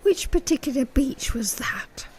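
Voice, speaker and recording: A woman's voice: high voice, animated, measured pace, darkish tone, in a whisper. A woman playing a pompous, easily affronted middle-aged saleswoman in a radio sitcom, mock-refined with coarse slips. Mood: gossipy. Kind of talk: radio play sitcom comedy acting.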